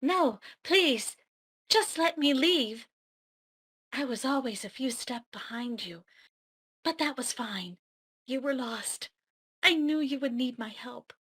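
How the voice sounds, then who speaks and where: A woman's voice: high-pitched, lively intonation, slow pace, long pauses, a bit bright, slightly rough, heavily acted. A woman playing a wounded, pleading woman in a theatrical, emphatic fantasy melodrama read. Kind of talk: amateur table read, melodramatic acting.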